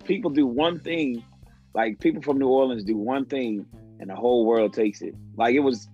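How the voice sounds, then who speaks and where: A man's voice: mid-range voice, normal speed. A man in his late twenties chatting on a sports podcast, slangy and mock-grumpy like an old head. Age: late 20s. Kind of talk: casual chat. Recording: slightly dull audio, faint music underneath.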